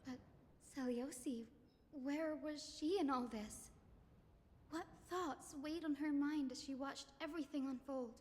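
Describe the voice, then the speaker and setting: A woman's voice: high-pitched, measured pace, a little mellow. An actress playing a pensive, compassionate young woman in a fantasy game, earnest and wondering. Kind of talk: scripted fantasy game dialogue. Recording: long hall reverb.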